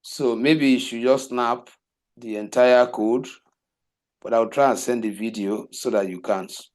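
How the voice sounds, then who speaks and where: Slow-paced man teaching code. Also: mid-range voice, fairly even intonation. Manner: slow, repetitive step-by-step explaining, asks rhetorical questions. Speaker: web development teacher. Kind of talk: live coding class walkthrough.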